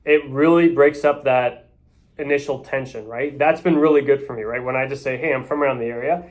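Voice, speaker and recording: A man's voice: medium pitch, steady tone, a little fast. A man who works as a real estate agent, coaching others on door knocking in a loose, off-the-cuff way and often tagging his points with "right?". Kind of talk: casual sales coaching talk.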